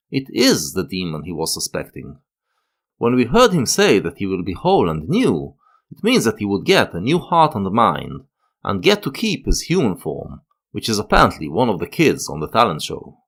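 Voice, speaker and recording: A man narrating a scripted analytical video essay, explaining in measured phrases with emphatic pauses. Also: fairly low pitch, creaky, breathy edge.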